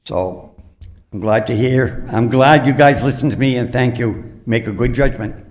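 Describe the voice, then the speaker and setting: A man's voice: mid-range voice, moderate pace, a little mellow. An older man speaks at a city planning meeting without notes. He is plainspoken and earnest and drifts a little from point to point. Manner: plainspoken and earnest, a bit rambling. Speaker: resident giving public comment. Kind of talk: unscripted public comment. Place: city council chambers.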